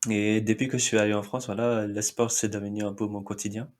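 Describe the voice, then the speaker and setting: A man in his thirties from India, a Marathi speaker at heart, talks in a somewhat low voice. He answers with frequent pauses and fillers, often breaking into a short laugh. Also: little pitch movement, a little mellow. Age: 30s. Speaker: podcast guest. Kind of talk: casual interview chat.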